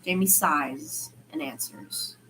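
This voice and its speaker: A woman's voice: low voice, expressive, very slow, noisy background. A woman narrating a story as an amateur audiobook reader, informal, with the occasional small stumble. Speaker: podfic narrator. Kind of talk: amateur fiction reading with character dialogue.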